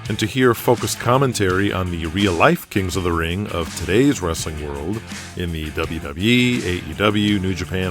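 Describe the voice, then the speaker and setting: An American man giving scripted dramatic narration as the narrator of an audio series. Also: deep voice. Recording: music under the voice.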